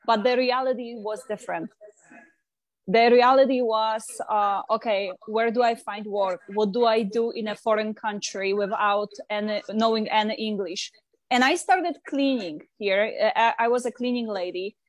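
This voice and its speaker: A woman's voice: on the high side, steady tone, normal speed, low-quality recording. Polish-accented woman telling her story. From Poland. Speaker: guest.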